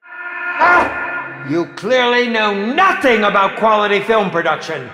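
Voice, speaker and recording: A man's voice: high-pitched, lively intonation, slow pace, sharp tone, full character voice. A man playing a pompous, maniacal horror film director in a video game, theatrically exasperated and melodramatic. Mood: outraged shrieking. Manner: pompous, melodramatic, swooping from sly to shrieking. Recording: echoey hall reverb with music behind.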